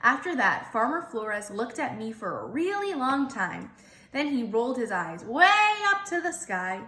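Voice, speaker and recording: High-voiced woman reading a story, roomy echo. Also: big pitch swings, unhurried, sharp tone. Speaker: teacher reading aloud. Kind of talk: expressive children's storybook narration.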